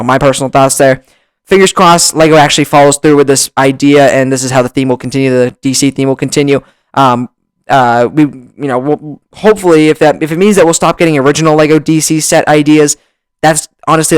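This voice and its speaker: A man hosting a casual vlog, talking excitedly with lots of restarts, his voice slightly hoarse from long recording, close on a desk mic. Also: medium pitch, dry recording. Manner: excitable, rambling with many restarts. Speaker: YouTuber. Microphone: desk mic, bumped at times.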